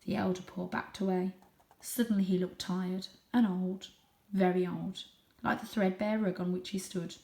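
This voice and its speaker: A woman reading a children's story aloud with expressive narration, recorded in an echoey room. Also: on the low side, moderate pace, creaky, recorded from a distance. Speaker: storybook reader.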